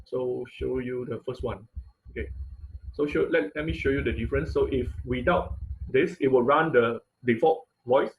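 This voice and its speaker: Halting male online tech instructor. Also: medium pitch, slight background noise, rough recording. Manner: halting, rephrasing mid-sentence. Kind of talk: live online coding tutorial, unscripted. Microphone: computer mic on an online class call.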